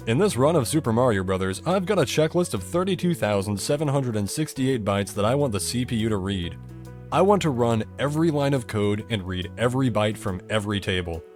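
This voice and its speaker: A man's voice: mid-range voice, a little mellow. A man delivering scripted explainer narration as the host of a video game breakdown, methodical and dryly humorous.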